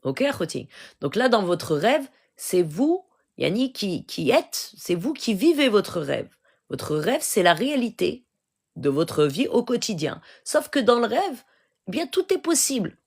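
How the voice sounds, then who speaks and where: A woman's voice: mid-range voice, big pitch swings, measured pace, gravelly, slightly rough. A middle-aged woman with a Moroccan accent, hosting a live stream and advising viewers, peppering her talk with "euh" and "d'accord?" asides. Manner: code-switching, frequent "euh" and "d'accord?" asides.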